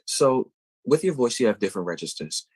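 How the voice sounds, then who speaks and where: A man's voice: mid-range voice, big pitch swings, brisk, a little mellow, husky, no echo. A man, a singer answering interview questions and explaining vocal technique thoughtfully and at length. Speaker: guest singer.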